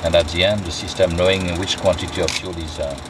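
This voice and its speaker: A man's voice: low-pitched, fairly even intonation, a little fast. A male test pilot giving a methodical, step-by-step walkthrough of a helicopter cockpit, recorded on a handheld camera on an airfield. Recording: loud engine and outdoor noise.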